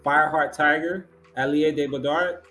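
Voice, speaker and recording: A man's voice: a little high, steady tone, normal speed, fairly bright tone, faint music behind. A man hosting an awards ceremony, announcing categories, finalists and winners. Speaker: awards show host.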